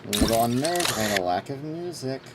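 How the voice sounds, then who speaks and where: Female game streamer, very deep voice, lively pitch movement, informal running commentary. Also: fairly quick, very noisy. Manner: informal, talking to herself. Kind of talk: casual live gameplay commentary. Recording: loud game music behind.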